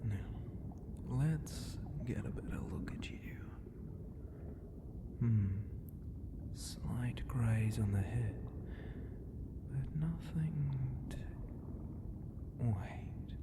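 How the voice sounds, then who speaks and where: A man voicing a huge, proud and flirty ocean god who murmurs in an unhurried, teasing way for an ASMR roleplay. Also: mid-range voice, pitched above the performer's own voice. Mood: curious, teasing.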